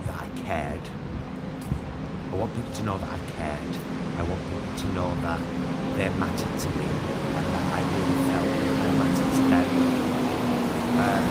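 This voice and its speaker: Deep-voiced man vlogging over loud vehicle noise. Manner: halting, reflective, trailing into tag questions. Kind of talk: casual rambling vlog monologue to viewers. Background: loud vehicle rumble and ambience.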